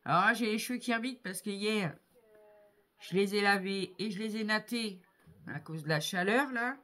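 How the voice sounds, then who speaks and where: A woman in her fifties chatting casually to viewers as a craft vlogger, effusive and appreciative, exclaiming often and repeating words haltingly, recorded on a phone indoors at home. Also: medium pitch, fairly bright tone. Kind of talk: casual unboxing chat to viewers. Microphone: phone on a tripod at arm's length.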